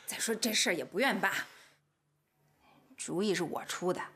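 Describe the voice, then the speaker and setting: A woman's voice: medium pitch, moderate pace, noisy background, lightly acted. An actress playing a brash, stubborn older sister in her late thirties in a TV family drama, combative and indignant. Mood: defensive. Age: late 30s. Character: brash, stubborn, scheming older sister, quick to take offence. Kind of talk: naturalistic TV drama acting. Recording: faint background music.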